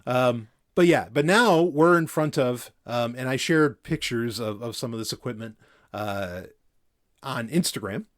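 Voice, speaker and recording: A man at an ordinary middle pitch hosts a podcast from a home setup, speaking casually into a studio mic on an arm. He rambles along with plenty of fillers and restarts. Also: a little slow. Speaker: podcast host.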